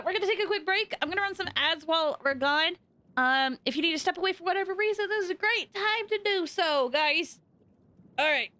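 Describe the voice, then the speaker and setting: A woman's voice: high voice, sharp tone. A woman streaming a game, chatting casually and playfully with her viewers as she plays. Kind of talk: casual livestream game commentary.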